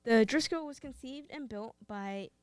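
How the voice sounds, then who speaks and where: A woman's voice: on the high side, unhurried, darkish tone, clear, resonant tone, no room sound. A young woman with a Texan accent, co-hosting a podcast in a casual, playful way and reading out facts. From Texas. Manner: playful, teasing. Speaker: podcast co-host.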